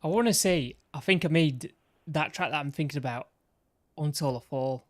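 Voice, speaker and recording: A man's voice: fairly high pitch, moderate pace, no room sound. A man streaming a game, losing his voice, rambling and interrupting himself as he shows off his own builds. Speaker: gaming streamer. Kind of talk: casual commentary while showing his game builds.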